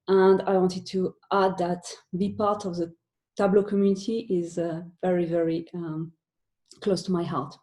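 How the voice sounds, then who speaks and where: A woman with a French accent gives a webinar talk from home. Her delivery is halting, full of fillers and restarts. Her voice is mellow and warm at a middle pitch. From France. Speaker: conference speaker.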